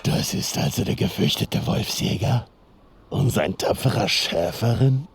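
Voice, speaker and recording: A man playing a menacing, cruel werewolf villain who taunts in a gravelly growl in a cinematic audio drama. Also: medium pitch, noisy background. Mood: taunting menace. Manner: slow, threatening, taunting.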